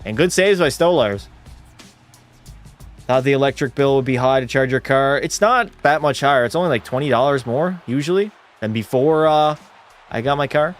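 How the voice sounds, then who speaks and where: A male streamer giving casual play-by-play of a hockey game and answering his chat in a relaxed way. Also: medium pitch, moderate pace, faint music behind. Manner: relaxed, drops into terse hockey call mode.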